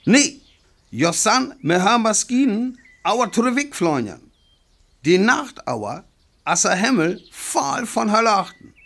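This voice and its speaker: An actor with a North Frisian accent playing a jumpy, embittered soldier in a radio play, speaking in gruff, broken phrases. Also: male voice, sits high, big pitch swings, takes it slowly, a bit bright, full character voice. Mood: bitter, agitated. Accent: North Frisian (Föhr). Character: jumpy, embittered male soldier holed up after defeat.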